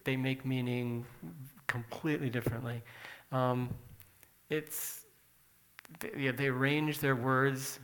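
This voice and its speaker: Man presenting slides, plain talky explainer, mid-pitched voice. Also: a bit bright, low-quality recording.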